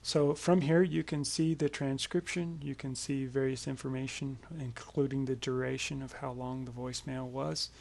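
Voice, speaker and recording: Man, gravelly creak, mid-pitched, informal explanatory tone, software tutorial presenter, casual screencast delivery. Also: level tone, normal speed, hardly any pauses.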